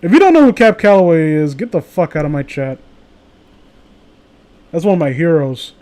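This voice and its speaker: A man hosting a casual group stream, talking in a rambling, excitable way. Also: fairly high pitch, normal speed. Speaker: host of a live group stream. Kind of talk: casual chat.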